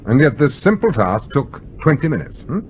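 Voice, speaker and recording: An actor playing a stern, menacing submarine commander in a radio drama, clipped, commanding and coldly polite. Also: male voice, mid-range voice, very animated, normal speed, darkish tone, a little hiss or hum, natural voice. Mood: accusing. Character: stern, menacing male nuclear submarine commander interrogating a crewman. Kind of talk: broadcast radio drama acting.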